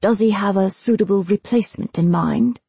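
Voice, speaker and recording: A woman voicing a cool, sardonic young male lieutenant with a deadpan, controlled manner, as in an audiobook. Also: medium pitch, normal speed, creaky, breathy edge, no room sound. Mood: calm.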